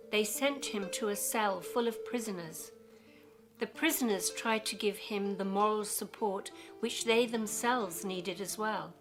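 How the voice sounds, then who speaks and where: A woman narrating a scripted radio play in a measured, serious way. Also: mid-range voice. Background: music.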